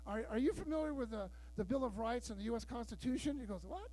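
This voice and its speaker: A man in his fifties performing a stand-up comedy set, telling loud, bawdy stories and acting out the dialogue. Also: very high voice, lively intonation, quick pace. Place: live show room with audience.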